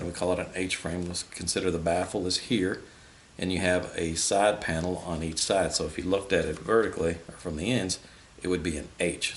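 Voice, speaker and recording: A man in his fifties giving an informal, matter-of-fact technical explanation in a small room, speaking off the cuff to a camera a few feet away. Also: deep voice. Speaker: host explaining audio equipment. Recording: steady background hiss.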